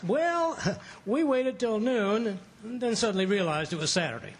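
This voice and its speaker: A man's voice: sits high, expressive, moderate pace. A man playing a blustering, folksy, fibbing middle-aged husband in an old-time radio comedy. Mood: boastful, grumbling. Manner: folksy, stammering when cornered.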